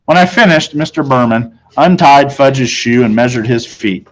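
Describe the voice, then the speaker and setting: A man narrates a children's novel in the first person. His voice sits at a middle pitch, and he reads it aloud in the way of a teacher sharing a story. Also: normal speed, a little hiss or hum, low-quality recording.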